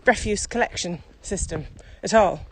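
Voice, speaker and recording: A woman's voice: medium pitch, normal speed. A woman co-hosting a casual, reflective podcast chat, asking questions conversationally and slightly out of breath as she walks. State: slightly out of breath from walking uphill. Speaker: podcast co-host. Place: outdoors, walking through a pine grove in Morocco. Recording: clearly noisy outdoor walking recording.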